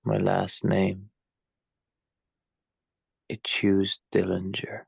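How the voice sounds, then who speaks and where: An actor playing an angry, foul-mouthed young captive in a screenplay read, blunt, defiant and indignant. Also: male voice, low voice, completely flat delivery, very slow, creaky, slightly rough, no echo, studio quality, full character voice. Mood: guarded, defiant.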